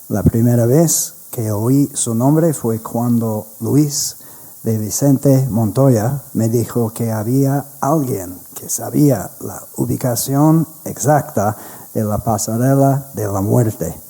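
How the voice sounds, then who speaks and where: An American man, a native English speaker, reading a prepared introduction in Spanish at a history conference, slowly and carefully with some restarts. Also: medium pitch, dark tone. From United States.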